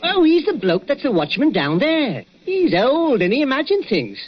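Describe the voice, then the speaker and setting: A man's voice: very high voice, wildly swooping pitch, moderate pace, exaggerated voice. A chirpy Cockney night watchman. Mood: chatty. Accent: London Cockney. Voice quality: chatty, chuckling, folksy. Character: cheery Cockney night watchman, middle-aged man. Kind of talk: 1940s radio acting. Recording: dull old radio recording with hiss.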